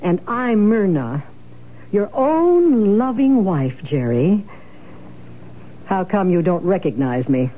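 A bitter, sharp-tongued wife in a radio crime drama. Her medium-pitched voice is pointed, sarcastic and accusing. It comes through a thin, narrow old broadcast sound. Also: big pitch swings, slow, pauses often. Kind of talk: 1970s radio drama acting. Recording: tinny, band-limited old radio broadcast.

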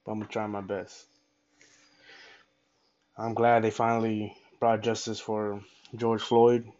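Male podcaster, lowish and fairly even pitch, unscripted and fervent, halting with repeated phrases, slightly lo-fi audio. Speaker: podcast host. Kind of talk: unscripted solo podcast monologue.

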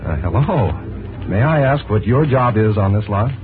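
A man's voice: lowish voice, animated, normal speed. A man announcing in a scripted 1940s radio style, polished, genial and formal. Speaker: radio announcer and sponsor host. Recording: narrow 1940s radio broadcast.

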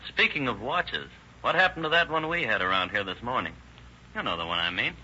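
An actor playing a sly police detective, probing with mock-offhand goading in an old radio crime drama. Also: male voice, medium pitch, animated, fairly quick, bright, forceful tone. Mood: slyly probing. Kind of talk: 1930s-1940s radio crime drama acting. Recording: dull, noisy old radio recording.

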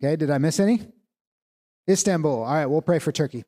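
A man leading a church family meeting, giving relaxed, joking instructions to tables of people. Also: on the high side, quick pace. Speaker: church leader.

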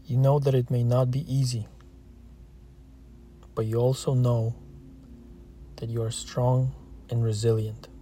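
Mellow, even-toned male meditation guide. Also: mid-range voice. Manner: calm, soothing, gentle, with long pauses between short phrases. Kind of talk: slow guided meditation, scripted narration.

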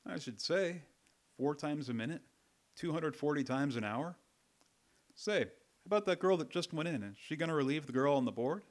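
A man's voice: on the high side, animated, normal speed, lightly acted. A man playing a polite, curious magazine reporter in a radio drama, affably asking questions.

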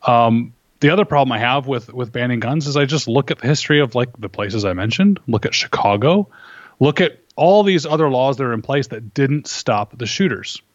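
A man being interviewed as a firearms instructor, explaining his opinions in a measured, argumentative way and posing rhetorical questions. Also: mid-range voice, lively intonation, no room sound, highs cut off.

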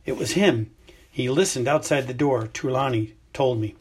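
A man voicing a stubborn, angry teenage boy wizard who speaks in curt, tense bursts, with a husky voice. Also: medium pitch, normal speed, natural voice. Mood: furious.

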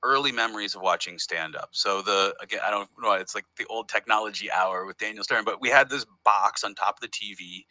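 Brief: low, bright-toned male comedian, meandering and chatty, dry close sound. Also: a little fast, hardly any pauses, no room sound, poor audio. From United States. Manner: rambling, self-interrupting storyteller. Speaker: comedian guest. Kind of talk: casual interview conversation.

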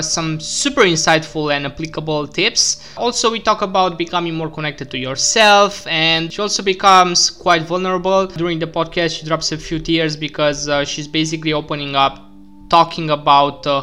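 A man's voice: fairly high pitch, bright, forceful tone, clear, resonant tone. A man hosting a podcast, upbeat with lots of fillers. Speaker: podcast host. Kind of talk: podcast intro and interview.

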